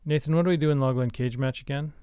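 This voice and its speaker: A man co-hosting a comedy podcast, chatting casually in a deadpan, mock-earnest way. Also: mid-range voice, racing, loud music behind the voice. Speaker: podcast co-host.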